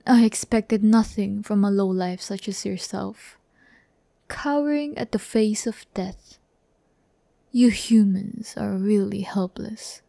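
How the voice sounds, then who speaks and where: ASMR roleplay: vain, condescending young female demon, played by a woman, gravelly fry, close dry mic. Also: a little high, warm tone, no room sound. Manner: slow, condescending, teasing, often dropping to a whisper. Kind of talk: breathy ASMR audio roleplay. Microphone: close microphone, dry sound.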